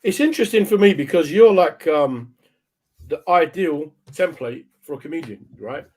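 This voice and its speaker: A man's voice: a little high, expressive, normal speed, a little mellow. A man hosting a radio interview in a casual, chatty way, with informal banter and short prompting questions. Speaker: radio show host, interviewer.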